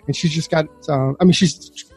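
High-voiced laid-back male podcast host. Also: steady tone, moderate pace, a little mellow, slightly rough, faint music behind. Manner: laid-back, filler-heavy, joking. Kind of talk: casual podcast chat.

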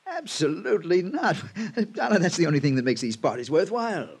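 A carefree, wealthy gentleman in an old radio drama. His voice is fairly high and very animated, mannered and flippant, as if everything amuses him. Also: brisk, vocal fry, slightly rough, heavily acted. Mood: delighted. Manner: breezy, genteel, amused, mannered. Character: flippant, carefree rich playboy, airy and philosophical. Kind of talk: classic radio drama acting. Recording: old radio broadcast recording.

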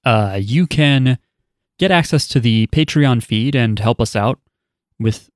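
A man's voice: medium pitch, slow pace, no echo, studio quality. A man hosting a podcast, chatting casually and reading out listener email with offhand, wry asides. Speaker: podcast host.

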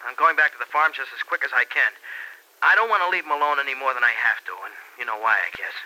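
An actor playing an earnest, excitable young husband and devoted son-in-law in an old radio serial. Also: male voice, medium pitch, fast, rough recording. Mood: urgent, worried. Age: young adult. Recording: vintage radio recording.

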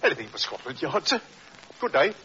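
An actor playing a chatty, deferential police constable in an old radio drama, brisk and respectful. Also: male voice, fairly high pitch, big pitch swings, bright, forceful tone, faint music behind. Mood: eager, respectful. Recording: muffled vintage radio, faint hiss.

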